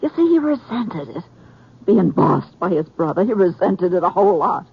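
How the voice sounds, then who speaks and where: An actress playing a grieving, forgiving American frontier woman in a radio drama, speaking in a grave, halting way. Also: fairly low pitch, sing-song, breathy edge, exaggerated voice. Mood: pained, earnest. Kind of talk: 1950s radio drama acting. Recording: muffled, noisy old radio broadcast.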